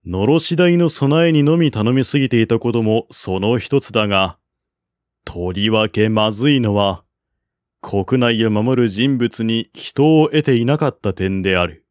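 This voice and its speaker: A man reading a historical novel as scripted narration, in a formal, measured literary manner. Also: medium pitch, a little mellow, no room sound, studio quality. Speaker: audiobook narrator.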